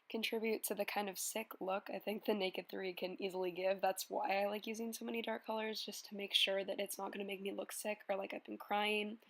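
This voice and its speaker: A young woman with a slightly hoarse voice, narrating a makeup tutorial in a relaxed, chatty way. She fills her pauses with ums as she talks through each step. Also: medium pitch. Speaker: beauty vlogger.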